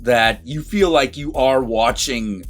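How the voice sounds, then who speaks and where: A man talking casually as a movie reviewer, informal and animated, swearing freely. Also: mid-range voice, slow, soft background music. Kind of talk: casual film review chat.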